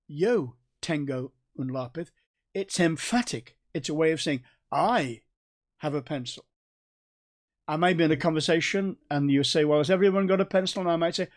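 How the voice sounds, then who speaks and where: A man with a North Staffordshire accent giving a recorded Bible study in a conversational way, with restarts and gentle asides. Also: a little high. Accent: North Staffordshire English. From England. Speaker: Bible teacher.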